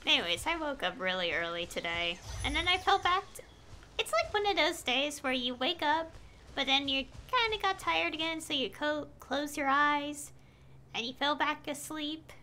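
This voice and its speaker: A woman's voice: high voice, expressive, normal speed, pushed and bright, slight background noise. A young adult woman streaming a game, chatting casually in a rambling way. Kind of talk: casual chat while gaming. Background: faint game music.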